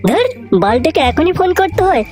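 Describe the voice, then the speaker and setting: A woman's voice: extremely high pitch, sing-song, a little fast. A woman voicing a slangy, cocky young unemployed boyfriend in a comic cartoon. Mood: cheeky. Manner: slangy, exclamatory. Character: cocky, foul-mouthed, lazy unemployed young boyfriend, male. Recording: background music under voice.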